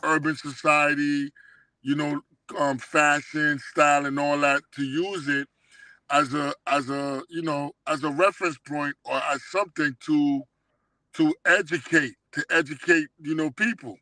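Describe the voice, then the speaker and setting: A man's voice: a little high, fairly even intonation, unhurried, pushed and bright, dry recording, rough recording. A middle-aged man reminiscing casually in street slang, emphatic and often checking that he is understood. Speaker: guest telling life stories.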